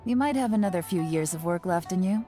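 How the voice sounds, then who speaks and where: An actress playing a composed female official, calm and measured. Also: lowish voice, soft background music, close to an everyday voice. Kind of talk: game voice acting.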